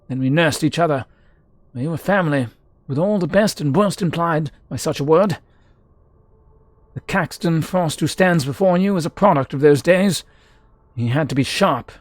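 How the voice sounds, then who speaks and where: A proud fantasy-game mercenary played by a man, pitched fairly high. He is a scholar turned sellsword who reminisces in florid, formal phrases with theatrical flair. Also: no room sound. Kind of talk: character voice in a fantasy game read-through.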